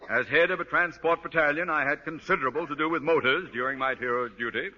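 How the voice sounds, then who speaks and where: An actor playing a pompous, hot-tempered middle-aged school principal who sputters when outraged, in a 1940s radio sitcom style. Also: male voice, fairly high pitch, quick pace, close to an everyday voice. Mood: pompous, commanding. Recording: vintage radio, dull, reverberant, hissy.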